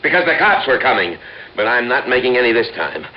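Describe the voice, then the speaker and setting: An actor playing a cornered, threatening American crook with a curt manner in an old radio crime drama. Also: male voice, mid-range voice, very animated, brisk, lightly acted. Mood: threatening, rattled. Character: cornered, bullying male gunman and impostor. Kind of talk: 1950s-60s American radio crime acting. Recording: noisy, dull vintage radio recording.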